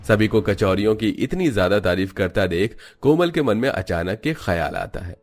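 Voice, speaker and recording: A man's voice: lowish voice, expressive, moderate pace, faint music behind. A man reading scripted storybook narration for a cartoon.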